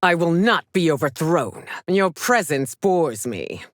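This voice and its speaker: A woman's voice: low voice, animated, no room sound, studio quality. A woman playing a proud, stern warrior empress in a fighting video game, speaking in a formal, commanding, scornful manner. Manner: imperious, formal, measured, commanding. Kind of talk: theatrical video game character lines.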